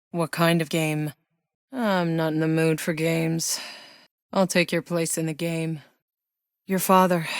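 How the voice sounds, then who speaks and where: A woman's voice: low-pitched, long reverb tail. An actress voicing a tough, sardonic female sci-fi soldier in a video game, with a gritty, wry delivery. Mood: grim, guarded. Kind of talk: video game voice lines, dramatic acting.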